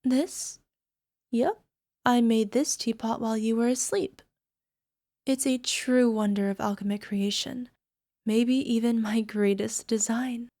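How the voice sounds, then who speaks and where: A woman playing a young, sweetly possessive yandere alchemist girlfriend in an ASMR roleplay, coaxing softly and sometimes slipping toward a whisper. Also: on the high side, fairly even intonation, unhurried, no echo, clean studio sound. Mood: proud, playful. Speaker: voice actor. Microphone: close microphone, dry.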